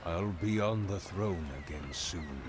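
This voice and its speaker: A man's voice: low-pitched, measured pace, background noise. A man acting as a deposed pirate king in a video game, menacing, fatalistic and theatrical. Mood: grave, defiant.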